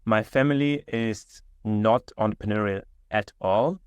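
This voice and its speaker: A young German-accented man talks easily on a video call, his voice a little low and dry. He wanders through his answers with plenty of "um" and "like", restarting as he goes. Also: measured pace, clean tone, dry recording. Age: 20s. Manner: relaxed, many fillers and restarts. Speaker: guest entrepreneur. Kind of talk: casual interview chat. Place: indoor room.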